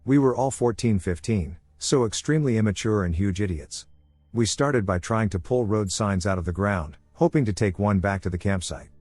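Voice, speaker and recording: A man with a fairly low voice narrates horror stories in a steady, measured read. The sound is dry and close, with no room around it. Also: dry recording. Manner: steady, measured storytelling delivery.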